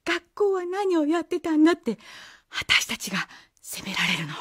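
A woman playing a brisk, blunt schoolteacher in a radio drama. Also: very high-pitched, very animated, a bit bright, no room sound, clean studio sound, full character voice. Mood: exasperated, scolding. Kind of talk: Japanese radio drama acting.

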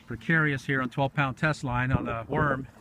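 A man's voice: medium pitch, steady tone, normal speed. Middle-aged male fishing host, casual and encouraging play-by-play, heavy outdoor noise on camera mic. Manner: repeats short phrases, encouraging. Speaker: fishing vlog host filming. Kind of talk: casual running commentary while fishing. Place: outdoors on a grassy lake shore. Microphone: handheld camera mic. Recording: very noisy windy outdoor camera audio.

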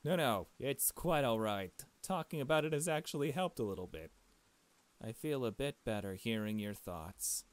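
A man's voice: medium pitch, lively intonation, moderate pace, lightly acted. A man voicing a grieving, polite mother in a visual novel, speaking in a formal, mournful manner. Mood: gentle, sad.

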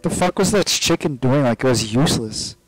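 A man's voice: mid-range voice, lively intonation, fairly quick, low-quality recording. A man bantering casually during a co-op game, playful, foul-mouthed and prone to exclaiming. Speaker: gaming channel player and host. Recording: game music behind the voice. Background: video game music.

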